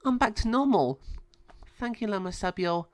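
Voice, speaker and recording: A man voicing a sweet, affectionate young girl heroine in a children's storybook, with an earnest, gentle manner. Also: high-pitched, lively intonation, normal speed, no echo, studio quality, voice pushed up. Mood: cheerful, sweet.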